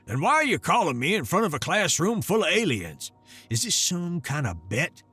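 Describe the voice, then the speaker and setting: A man putting on a gravelly, grumpy old grandpa. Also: on the high side, sing-song, normal speed, sharp tone, faint music behind, voice pushed up, full character voice. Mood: sarcastic. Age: older. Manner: sarcastic, scolding.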